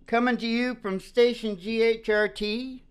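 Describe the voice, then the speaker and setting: A man's voice: extremely high pitch, steady tone, measured pace, clean tone. A man hosting a radio show in a casual, rambling comic monologue, with a folksy, theatrical way of talking. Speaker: radio show host and singer.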